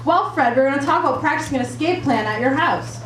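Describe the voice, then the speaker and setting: Woman as welcoming kids' show teacher, very melodic high delivery, picked up from afar in an echoing room. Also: lively intonation, fast, a bit bright, slight background noise. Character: welcoming female show leader teaching fire safety. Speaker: puppet show presenter. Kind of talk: scripted children's safety show. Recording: roomy live sound, distant mic.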